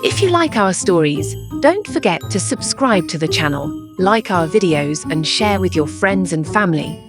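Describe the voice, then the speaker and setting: A woman's voice: lowish voice, expressive, moderate pace. Casting: female channel announcer, scripted read, set over prominent jingly music. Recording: loud jingling music behind.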